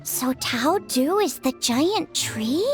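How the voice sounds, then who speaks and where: A woman voicing a tiny, excitable, childlike fairy companion in a video game, exclamatory in manner. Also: sits high, takes it slowly. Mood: excited, curious. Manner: exclamatory, refers to self in third person. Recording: music behind the voice.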